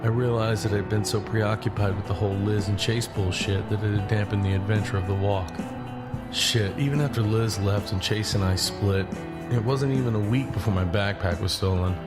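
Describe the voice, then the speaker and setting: A man acting as an easygoing young male hiker tells his story in an audio drama. His voice is low, his delivery conversational and a little guarded. Guitar music plays prominently behind him. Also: steady tone, normal speed, lightly acted. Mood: reflective. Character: John, easygoing but guarded young man hiking long-distance. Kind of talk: first-person audio drama narration and dialogue.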